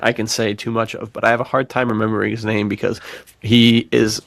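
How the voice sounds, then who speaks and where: A man's voice: lowish voice, level tone, fairly quick, gravelly, a bit bright, no echo. A man hosting a casual podcast chat about writing, talking in an effusive, informal way as a fellow author. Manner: effusive, informal, says "dude". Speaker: podcast host and fiction author.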